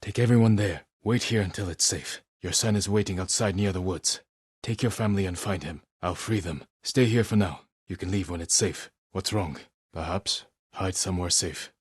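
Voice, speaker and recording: An actor playing a stern, honorable samurai warrior in a video game, with a husky voice and a measured, terse, commanding manner. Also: male voice, sits low, no room sound, studio quality. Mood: stern, resolute. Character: stern honorable adult male samurai warrior, dutiful and weary. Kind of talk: video game character voice lines.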